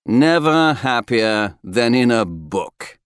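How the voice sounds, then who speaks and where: Man playing an earnest, polite young spaceman, lively pitch. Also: takes it slowly, clean tone, no echo, studio quality. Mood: amiable, earnest. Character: anxious, polite, bookish young male space crewman.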